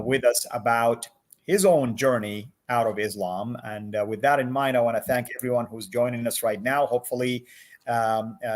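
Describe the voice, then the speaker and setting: A man hosting a livestream interview over a video call, friendly, with many filler "uh"s and animated questions. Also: mid-range voice. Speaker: host.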